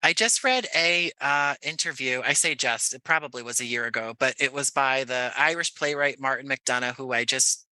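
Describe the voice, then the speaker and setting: Bright, forceful man telling stories. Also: mid-range voice, moderate pace, few pauses, smooth, no echo, rough recording. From Jersey City, United States. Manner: chatty, discursive storytelling. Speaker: guest playwright and director. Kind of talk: casual podcast conversation.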